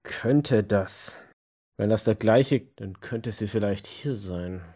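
A man playing an agitated, brooding vampire enforcer in an audio drama, speaking in terse, halting phrases. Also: lowish voice, dark tone. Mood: uneasy. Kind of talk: hobby audio drama dialogue.

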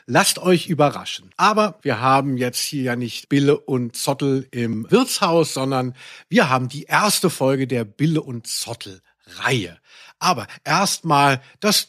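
A man's voice: medium pitch, fairly bright tone. A man hosting a podcast in casual chat, wordy and playfully ironic. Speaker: podcast host.

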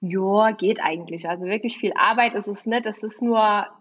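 A woman's voice: medium pitch, moderate pace, muffled recording. A woman, a guest in a podcast interview, chatty and giggly, with a bit of a cold. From Germany, Saarland. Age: 20s. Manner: giggly, chatty, many fillers. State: has a cold. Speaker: guest, wedding officiant.

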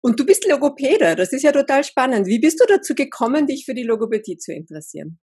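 A woman's voice: sits high, sing-song, quick pace, darkish tone, slightly rough, no room sound, clean studio sound. A woman who is a linguist hosting a podcast, asking her guest questions in an encouraging, enthusiastic way. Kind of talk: podcast interview.

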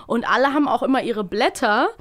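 A woman with a fairly high voice hosts a podcast. She talks in a casual, conversational style. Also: fast talker, a bit bright. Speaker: podcast host.

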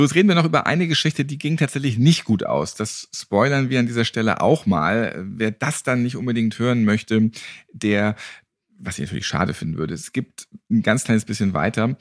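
A man's voice: medium pitch, no room sound, studio quality. A man hosting a casual podcast chat in a joking, flippant way. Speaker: podcast host.

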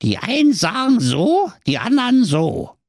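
A man voicing a grumpy, sly evil dwarf who runs a gas station, in a very high, grumbling voice with Berlin slang. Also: wildly swooping pitch, measured pace, a little mellow, dry recording, clean studio sound, heavily acted.

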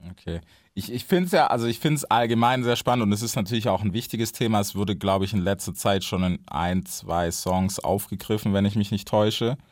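A man's voice: fairly low pitch, a little fast, no room sound, clean studio sound. A young man hosting a podcast interview, talking in a loose, laid-back way and dropping English slang words into his chat. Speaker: podcast host.

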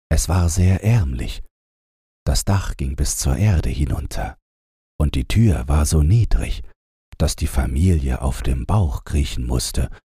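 Male audiobook narrator for bedtime fairytales: low, very raspy, slow scripted read, close dry sound. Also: warm tone, dry recording.

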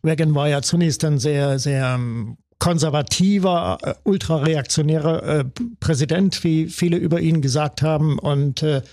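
A man's voice: on the high side, normal speed, few pauses, darkish tone, no echo. A man discussing music in a hesitant, thoughtful way, with many fillers. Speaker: music commentator. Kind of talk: conversational discussion.

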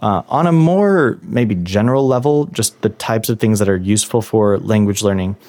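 Low-voiced man giving calm advice. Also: moderate pace. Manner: thoughtful, explanatory. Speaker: guest, language learning expert. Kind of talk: interview answers.

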